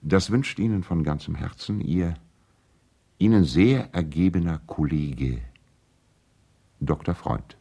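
An actor playing a courteous, idealistic male lawyer in a radio play, earnest and gently ironic, speaking in a formal, measured, eloquent way. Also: deep voice, lively intonation, creaky, slightly rough, heavily acted. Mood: earnest idealism. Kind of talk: radio drama letter monologue.

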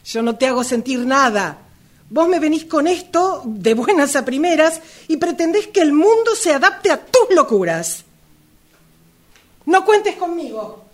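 A woman's voice: sits high, sing-song, unhurried. An Argentine woman playing a resentful, reproachful married sister in an amateur radio drama.

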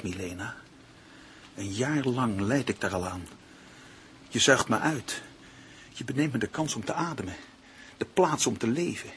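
A man's voice: medium pitch, lightly acted. An actor playing a bitter, vengeful male writer in a Dutch radio play, with theatrical, intense delivery. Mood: anguished, bitter. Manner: theatrical, intense monologue swinging from hushed to shouting. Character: bitter, vengeful male writer turned murderous, domineered by partner. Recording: noisy old radio play recording.

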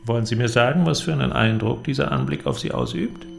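A man's voice: medium pitch, big pitch swings, quick pace, vocal fry, soft background music, pitched above the performer's own voice. A man playing a confident, mysterious inventor, solemn and emphatic, in dramatic audiobook dialogue. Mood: assured, explanatory.

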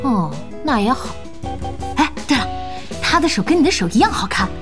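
Feisty, animated young female boss. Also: high-pitched, moderate pace, music in the background. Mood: chatty. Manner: exclamatory, scolding, teasing. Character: feisty young female internet cafe boss, quick-tempered and bossy. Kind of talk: Chinese audio drama acting.

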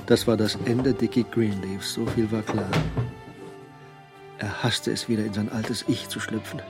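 An actor narrating a crime radio drama in a measured, brooding manner. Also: male voice, fairly low pitch, steady tone, loud music behind the voice. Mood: tense, brooding.

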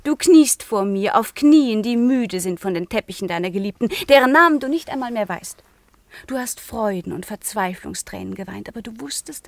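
An actress playing a proud, melancholy eighteen-year-old convent girl in a classical radio drama, with intense, declamatory delivery that often drops to a hush. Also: mid-range voice, vocal fry, no room sound, lightly acted. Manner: intense, declamatory, often dropping to a hushed whisper.

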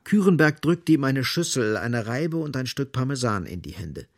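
A man narrating a literary radio play in an observant, expressive manner, with a slightly husky voice. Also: medium pitch, moderate pace. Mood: observant, measured.

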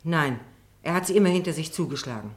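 Prim, guarded courtroom witness. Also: female voice, low voice, expressive, fast talker. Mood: reluctant. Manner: guarded, indignant, reluctant. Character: defensive, prim female neighbour on the witness stand. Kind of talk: German radio courtroom drama acting.

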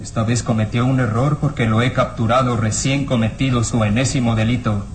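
An actor playing a stern, suspicious armed police inspector in an old radio serial, barking orders. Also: male voice, mid-range voice, steady tone, fairly quick, a little hiss or hum, close to an everyday voice. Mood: accusing.

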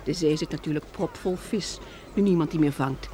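Een vrouw die in een hoorspel een speelse, zacht vasthoudende echtgenote speelt, op een lichte, plagende toon. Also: female voice, sits low, moderate pace, warm tone, a little hiss or hum, natural voice. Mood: reflective. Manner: light, teasing. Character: playful, gently determined wife of a weary survivor. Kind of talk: radio drama acting.

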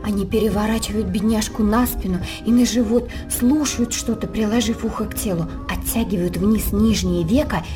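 Radio play role, female actor: sympathetic gossiping onlooker, mid-pitched, confiding, with prominent music underneath. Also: normal speed, few pauses, close to an everyday voice. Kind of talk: radio drama acting.

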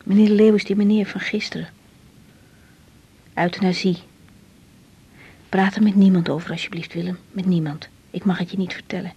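An actress playing a troubled young woman doctor in a naturalistic 1980s radio drama, hesitant and halting. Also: medium pitch, fairly even intonation, mellow, breathy edge, highs cut off. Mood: uneasy, confiding. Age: young adult. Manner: hesitant, halting, repeating words.

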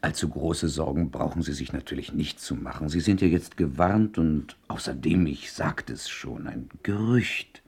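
Deep, husky, urbane gentleman. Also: moderate pace, hardly any pauses, heavily acted. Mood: genial, teasing. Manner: admonishing, urbane. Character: worldly, admonishing gentleman friend at dinner. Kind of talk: German radio play dialogue.

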